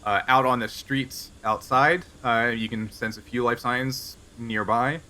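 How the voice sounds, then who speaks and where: A man's voice: mid-range voice, fairly even intonation, a little slow, sharp tone. A man improvising narration as game master of a tabletop game in a conversational, explanatory way.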